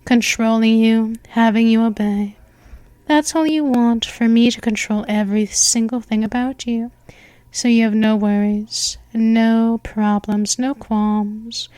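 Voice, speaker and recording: A woman playing a possessive female vampire mistress, speaking softly and hypnotically with drifting whispers, close to the mic. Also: a little high, fairly even intonation, unhurried, clear, resonant tone, no room sound, close to an everyday voice. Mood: seductive, possessive. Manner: coaxing, repetitive, counts down. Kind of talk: ASMR hypnosis roleplay.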